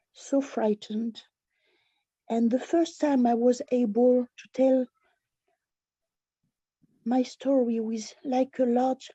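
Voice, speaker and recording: A woman's voice: a little high, dark tone, breathy edge, no room sound. A woman giving an intense, tumbling personal share in a meeting on a video call, at times dropping to a whisper. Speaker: newcomer meeting member. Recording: dull lo-fi dry call audio.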